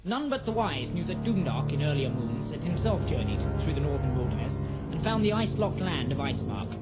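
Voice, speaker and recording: A man's voice: fairly high pitch, big pitch swings, fairly quick, loud music behind the voice. A man narrating a scripted fantasy audio drama in a solemn, literary storytelling manner.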